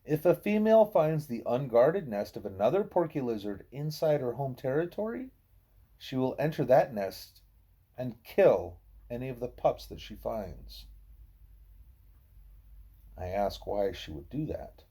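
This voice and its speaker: A man reading a novel of dialogue aloud in a soft, husky voice, with a measured, explanatory and expressive delivery. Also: medium pitch. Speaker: audiobook reader. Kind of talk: scripted narration of a novel in dialogue.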